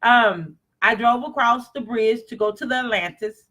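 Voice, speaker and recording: A confident woman chats about her travels straight to her viewers. Her voice is bright and forward, with an easy, talkative flow. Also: a little high, moderate pace. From East Texas. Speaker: vlogger. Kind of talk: casual travel chat.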